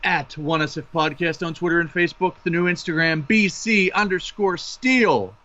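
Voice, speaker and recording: A man's voice: a little high, normal speed, sharp tone, lo-fi sound. A man with a Pittsburgh accent co-hosting a casual sports podcast, joking and playful. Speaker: podcast co-host.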